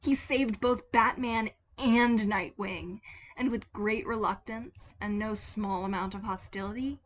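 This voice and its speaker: A woman's voice: mid-range voice, unhurried, slight background noise. A woman reading a story as a dramatic scripted narration. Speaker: podfic narrator.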